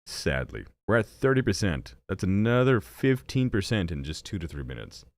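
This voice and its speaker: Young man, deep voice, lively pitch swings, stuffy nose, rambling self-mocking stream chat, dry close mic. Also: normal speed, dry recording. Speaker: streamer and gaming channel host. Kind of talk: casual live-stream chat.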